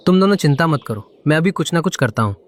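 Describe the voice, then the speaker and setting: A man's voice: fairly high pitch, expressive, fast. A man voicing a predatory hawk, a reassuring husband and father, in a Hindi children's cartoon.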